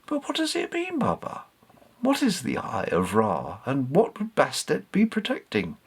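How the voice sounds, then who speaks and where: A man's voice: mid-range voice, huge pitch swings, a little slow, slightly rough, full character voice. A man voicing a curious, eager young boy in a storybook, with a questioning manner.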